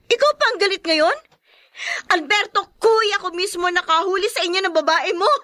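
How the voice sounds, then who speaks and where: Hurt, long-suffering Filipino wife, radio drama: high voice, emotional, pleading, dry studio sound. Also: female voice, normal speed, sharp tone, dry recording. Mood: hurt, accusing.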